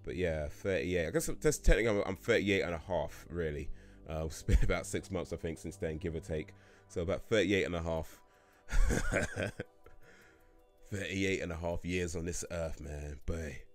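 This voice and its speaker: A man's voice: sits low, normal speed, faint music behind. A man in his late thirties hosting a casual livestream Q&A, rambling through chat questions with lots of fillers and restarts and frequent chuckles. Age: 30s.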